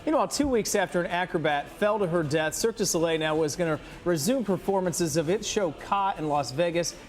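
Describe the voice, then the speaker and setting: Quick-talking male morning news anchor. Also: sits high, expressive. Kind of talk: chatty news presenting.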